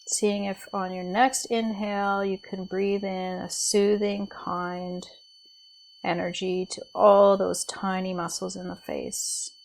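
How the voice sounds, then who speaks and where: A woman leading a guided body scan meditation as a teacher, speaking in a gentle, soothing, unhurried way with pauses. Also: medium pitch, very animated, vocal fry, slight background noise, low-quality recording.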